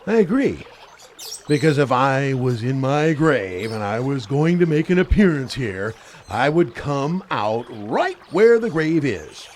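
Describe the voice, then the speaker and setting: A man acting a gruff, skeptical ghost hunter in an amateur supernatural radio play. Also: medium pitch, big pitch swings, a little mellow. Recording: clearly noisy, rushing water sound behind.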